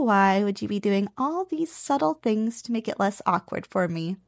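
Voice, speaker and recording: Woman acting a teasing, high-voiced slime girl. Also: no room sound, studio quality. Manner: playful, coaxing, intimate address to the listener. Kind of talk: ASMR roleplay.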